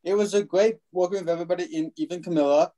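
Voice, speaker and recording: A man's voice: high-pitched, rapid-fire, mellow, low-quality recording. A young man chatting casually as a cast member on a video call. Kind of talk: casual group chat. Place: indoor room on a video call.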